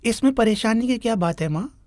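A woman voicing a devoted, gentle young village son in an animated moral story. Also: fairly high pitch, fairly quick, dry recording, clean studio sound, close to an everyday voice. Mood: reassuring. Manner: earnest, gentle.

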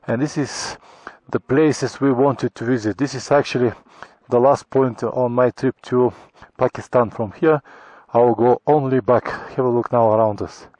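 A man's voice: mid-range voice, steady tone, no echo. Bulgarian guy on a bike trip, talking into his action cam outside, dry close sound, broken-ish english, thanking everyone. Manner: warm, grateful, halting non-native English with restarts. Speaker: motorcycle travel vlogger. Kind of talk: casual travel vlog talk to camera. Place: high mountain pass outdoors. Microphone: action camera on helmet or in hand.

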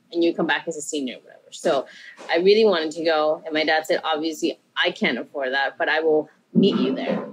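A woman's voice: fairly low pitch, a little hiss or hum, low-quality recording. A woman from Peru, a chef and interview guest, telling her story in a rambling, self-correcting, upbeat way.

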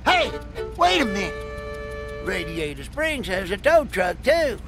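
A man's voice: high-pitched, very animated, a little slow, gravelly, over music, exaggerated voice. A man playing a cheerful, dim-witted country tow truck in a game, folksy and exuberant with a Southern US accent.

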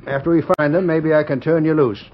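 An actor playing a gruff, dutiful western sheriff in a vintage radio drama. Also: male voice, fairly high pitch, fairly even intonation, fast, natural voice. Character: gruff, dutiful old-west sheriff, adult man. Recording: muffled, faintly noisy old radio recording.

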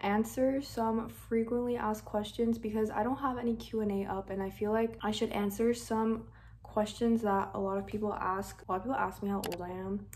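A woman's voice: mid-range voice, steady tone, moderate pace, a little mellow, a little hiss or hum. A seventeen-year-old girl from Canada, chatting casually to the camera in her bedroom, upbeat and talkative with lots of "like" and "literally". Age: 17, teenager. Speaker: vlogger. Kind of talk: casual vlog chat to camera. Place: bedroom at home, indoors.

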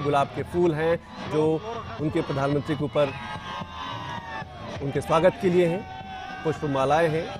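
Male TV reporter, live commentary, fairly high voice, cheering crowd and music underneath. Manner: repetitive, urgent live narration with fillers. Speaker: TV news reporter. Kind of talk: live news commentary over roadshow footage. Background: crowd chanting and music.

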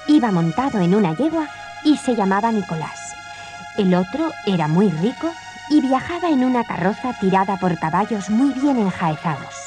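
A woman tells a children's story clearly, with a lively, wide-ranging pitch. Faint music sits under her narration on the old cassette.

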